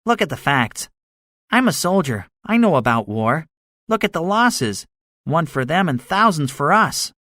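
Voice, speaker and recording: A man's voice: a little high, very animated, a little slow, sharp tone, no echo, clean studio sound, voice pushed up, exaggerated voice. A man voicing a cynical, boastful soldier survivor in a dramatized story, speaking emphatically with a swaggering manner. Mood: bitter, scornful. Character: cynical, boastful male soldier, excitable survivor with grand schemes. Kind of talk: dramatized audiobook dialogue.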